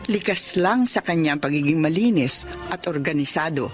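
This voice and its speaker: Weary older housewife with lively pitch. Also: female voice, moderate pace, soft background music. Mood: troubled, reflective. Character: weary older housewife, exasperated with her fussy husband. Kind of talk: Tagalog radio drama acting, narration and dialogue.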